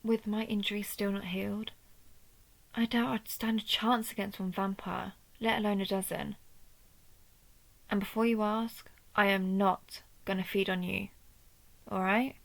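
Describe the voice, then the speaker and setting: A woman playing an injured vampire who hunts her own kind, protective, proud and wry, in a measured, intimate audio roleplay. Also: medium pitch, little pitch movement, vocal fry. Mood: wry, resigned, then firm, reluctant. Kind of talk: scripted audio roleplay addressed to the listener.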